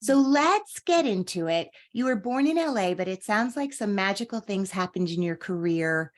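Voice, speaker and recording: A woman hosts an interview podcast in a chatty, effusive way. Her voice sits at a middle pitch. She strings several questions together in one go. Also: lively intonation, moderate pace. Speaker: podcast host. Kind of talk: interview hosting.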